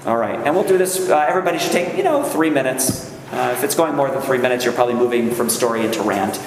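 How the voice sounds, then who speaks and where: A man's voice: mid-range voice, big pitch swings, fast. A man, a rabbi, leading a workshop and talking conversationally to a gathering, often checking in with the listeners. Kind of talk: speech to a gathering. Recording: echoey hall, faint room noise.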